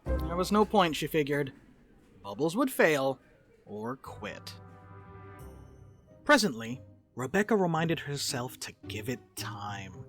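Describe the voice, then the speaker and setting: A man narrating a dramatic reading as a storyteller, with expressive, scripted delivery. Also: medium pitch, takes it slowly, long pauses, soft background music.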